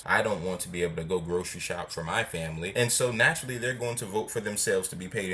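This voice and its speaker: A man with a deep, low voice hosts an opinion commentary. He speaks in a measured, formal manner as he reads and responds to comments. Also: bright, forceful tone. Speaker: video host and commentator.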